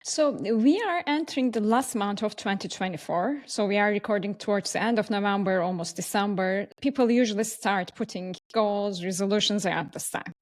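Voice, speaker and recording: A woman host with a Turkish accent talks in a conversational podcast interview style. Her voice sits at an ordinary middle pitch for a woman. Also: moderate pace, few pauses. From Turkey.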